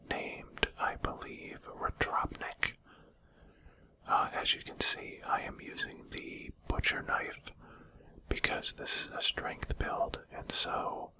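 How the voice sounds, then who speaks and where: A man speaking in a breathy whisper, giving quiet, explanatory commentary on a video game as a channel host. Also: very high voice, low-quality recording.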